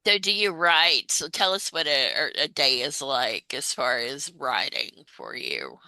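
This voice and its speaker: Bright, low-pitched woman hosting with creaky edges. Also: big pitch swings, unhurried, dry recording, rough recording. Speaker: interview host. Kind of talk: conversational interviewing.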